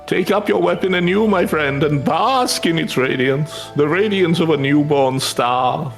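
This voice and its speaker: A man's voice: on the high side, a little slow, vocal fry. A man voicing a grandiose mystic from a fantasy game, theatrical and solemn in archaic incantation. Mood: triumphant. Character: grandiose male mystic who enchants ancient relic weapons. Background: game music.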